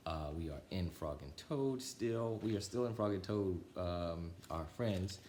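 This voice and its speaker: A man with a fairly low voice reads and chats as a children's storytime host. His intonation is very animated, and the room around him echoes. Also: measured pace, a little hiss or hum. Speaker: storytime reader. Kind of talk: children's storybook narration and casual chat.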